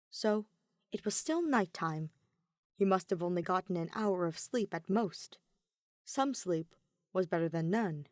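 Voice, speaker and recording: A woman's voice: lowish voice, darkish tone, no echo. Woman narrating fiction, dry close sound. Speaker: audiobook narrator of fan fiction. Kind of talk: scripted dramatic narration.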